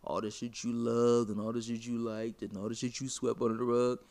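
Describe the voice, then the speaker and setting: A man's voice: medium pitch, level tone, a little fast, a little mellow. A man arguing his point in a heated casual conversation, blunt and profane.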